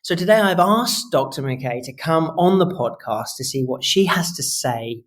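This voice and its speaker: A man hosting a podcast interview in a conversational style, with halting, wordy phrasing. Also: a little high, animated. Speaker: podcast host.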